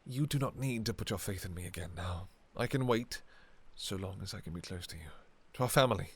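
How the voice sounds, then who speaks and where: A man playing an ancient, devoted vampire king and doting father in an intimate romantic audio roleplay, speaking softly and haltingly with sentimental endearments. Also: deep voice, husky. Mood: pleading, earnest. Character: tender, devoted two-thousand-year-old vampire king, doting father.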